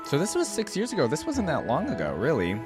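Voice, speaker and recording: A man's voice: a little high, wildly swooping pitch, fast, over music. A man giving casual, easygoing reaction commentary as a video host, rambling and thinking aloud.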